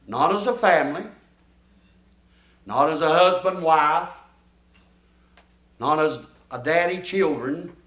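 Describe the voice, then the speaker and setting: A man's voice: a little high, takes it slowly, long pauses, fairly bright tone. A man, preaching a folksy, emphatic sermon and drawing responses from his congregation. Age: 70s. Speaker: pastor. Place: church. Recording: echoey church room.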